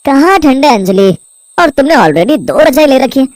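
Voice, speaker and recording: Woman doing stubborn young cartoon husband, lively swoopy pitch, dry sound, brushes you off. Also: normal speed, no room sound. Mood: insistent. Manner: dismissive, insistent.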